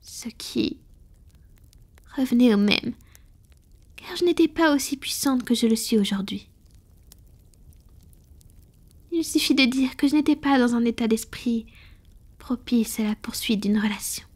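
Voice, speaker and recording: A woman voicing a centuries-old female vampire in an intimate scripted roleplay, soft, breathy and confiding, with measured delivery and whispered touches. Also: fairly high pitch. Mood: wistful, confessional.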